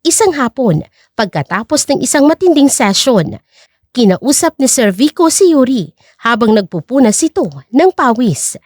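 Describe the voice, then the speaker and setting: A woman's voice: high-pitched, big pitch swings, normal speed, darkish tone, dry recording, clean studio sound. A woman giving dramatic scripted narration as the narrator of an audio drama.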